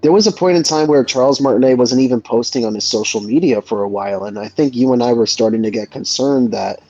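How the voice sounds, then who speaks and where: A man's voice: medium pitch, fast, dark tone, no echo. A man in a casual discussion, speaking in a reflective, hesitant way and often searching for words. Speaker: host of a gaming discussion. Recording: dull lo-fi mic, music underneath. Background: background music.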